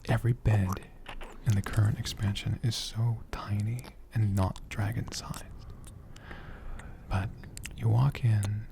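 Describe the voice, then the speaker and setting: A performer as affectionate dragon healer, soft breathy whisper, crackly low fry, unhurried, soothing ASMR roleplay. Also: flat delivery. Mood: gentle, reassuring. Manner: slow, soothing, tender, lingering pauses. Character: gentle, affectionate dragon healer who soothes tired adventurers. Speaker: ASMR creator.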